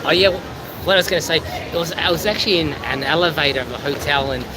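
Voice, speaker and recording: Squeaky-voiced man rambling outdoors. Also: quick pace, a crowd in the background. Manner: chatty, rambling. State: over-caffeinated after hours live. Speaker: travel vlogger. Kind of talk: casual live-stream chat. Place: outdoors in a busy city. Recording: loud outdoor street noise with traffic.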